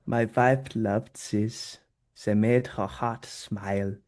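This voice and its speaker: An actor playing a harmless, adorable baker in his eighties, gentle and a little wistful, at a script table read. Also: mid-range voice, fairly even intonation, takes it slowly, natural voice. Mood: tender, wistful. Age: 80s.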